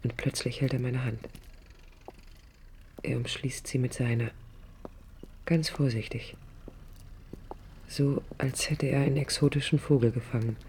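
An actress playing a wistful, jealous young dreamer in love in a 1980s radio play, speaking in a hushed, introspective way. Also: female voice, extremely low pitch, fairly even intonation, a little slow, long pauses, a little hiss or hum. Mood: tender recollection. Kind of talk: 1980s radio play, intimate first-person narration. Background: music.

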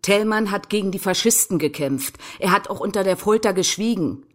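An actress in a radio crime drama playing a combative young left-wing activist, curt, defiant and accusatory. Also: female voice, mid-range voice, a little fast, lightly acted. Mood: defiant, scornful. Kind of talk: German crime radio play dialogue.

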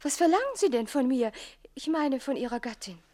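An actress playing a nervous yet probing countess who poses as a maid in a radio play, with a fluttery, coy delivery. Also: female voice, sits high, big pitch swings, fairly quick, warm tone. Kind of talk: classic radio play dialogue.

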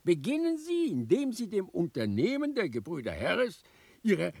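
Husky, scheming man with swooping delivery. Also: high voice, huge pitch swings, moderate pace, darkish tone, dry recording, heavily acted. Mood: sly, condescending. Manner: condescending, insinuating. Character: scheming, domineering male political boss instructing a journalist. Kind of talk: radio drama acting.

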